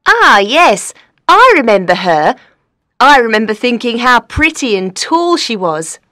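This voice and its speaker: A woman's voice: on the high side, big pitch swings, unhurried, bright, forceful tone, dry recording, clean studio sound, full character voice. A woman playing a small, friendly, helpful young waitress in an audiobook story. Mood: friendly, apologetic. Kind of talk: audiobook character dialogue.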